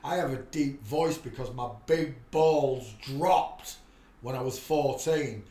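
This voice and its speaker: A man hosting a live stream, talking in a preachy, rambling monologue with frequent restarts and sudden loud bursts. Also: mid-range voice, takes it slowly, echoey room. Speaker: livestream host.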